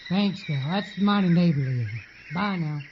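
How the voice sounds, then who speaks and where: An actor playing a hapless, lovesick, henpecked rural grocer with a Southern US accent in a campy radio parody. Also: male voice, fairly high pitch, lively intonation, normal speed, background noise, close to an everyday voice. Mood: pleading, folksy. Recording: dull lo-fi, music underneath.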